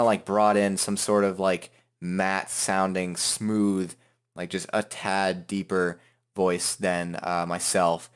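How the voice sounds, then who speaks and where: Low-voiced man giving a casual tutorial. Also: clear, resonant tone. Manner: informal, joking, self-deprecating. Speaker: tutorial host. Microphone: headset microphone.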